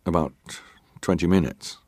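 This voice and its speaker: A man acting a middle-aged ship's officer in a dramatised reading of testimony, giving guarded, measured answers with clipped formal deference. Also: deep voice, darkish tone, slightly rough, no room sound, clean studio sound. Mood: guarded, composed.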